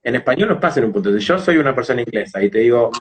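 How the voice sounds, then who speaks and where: Husky Rioplatense Argentine man explaining. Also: medium pitch, expressive, rapid-fire. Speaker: English teacher and phonetics specialist being interviewed. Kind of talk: explanatory interview talk.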